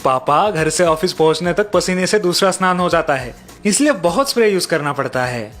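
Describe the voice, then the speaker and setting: A man acts a flippant young adult son in a cartoon. His voice sits high for a man and sounds carefree. Music plays in the background. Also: lively intonation, normal speed, a bit bright. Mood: breezy, dismissive. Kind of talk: Hindi story cartoon dubbing.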